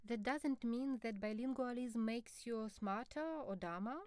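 A woman speaking as an expert co-presenter in a scripted educational dialogue, measured, formal and explanatory. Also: a little high, darkish tone, no room sound, studio quality.

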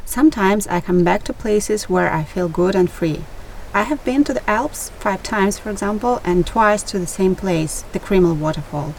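Young woman reading calmly. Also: medium pitch, normal speed, gravelly, slight background noise, low-quality recording. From Russia. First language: Russian. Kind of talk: read-aloud scripted conversation.

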